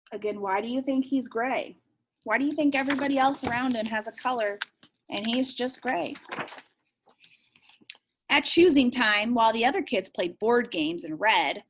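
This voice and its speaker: A woman teacher reading a picture book aloud expressively and asking young listeners questions. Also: fairly high pitch.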